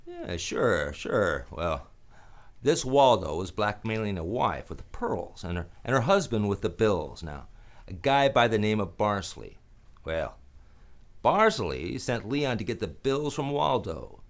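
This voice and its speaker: Man playing a deep-voiced, wry private eye. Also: very animated, a little slow. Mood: casual, persuasive. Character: wry, weary male private detective narrating his case. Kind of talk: radio detective drama acting.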